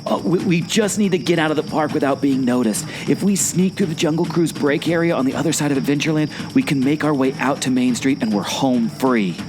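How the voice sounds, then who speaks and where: A man playing an eager, observant adventurer sidekick in a scripted audio adventure drama, with excitable, exclamatory delivery. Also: a little high, fairly quick, hardly any pauses, music in the background, lightly acted. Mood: eager, concerned. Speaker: podcast host acting in radio play.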